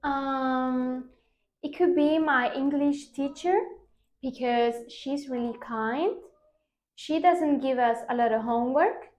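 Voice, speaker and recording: A woman in her twenties answers speaking-test questions carefully, with small hesitations. Her voice is high. The room adds a clear echo. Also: slow, a little mellow. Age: 20s. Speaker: student, exam candidate. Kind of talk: practice exam answers. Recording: echoey room.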